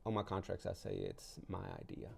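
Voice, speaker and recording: A man from El Salvador speaks as a guest in a casual interview. His voice is very low and mellow, with a lot of gravelly fry. He explains things informally, in anecdotes. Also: flat delivery, fairly quick. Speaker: interview guest, artist and gallery owner.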